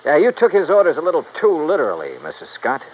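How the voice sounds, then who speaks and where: Casting: hard-boiled investigator, clipped and blunt, lively pitch swings, vintage radio detective serial. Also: male voice, fast. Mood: accusing. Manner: clipped, blunt, matter-of-fact. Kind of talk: 1950s radio detective drama acting. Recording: dull, noisy vintage radio recording.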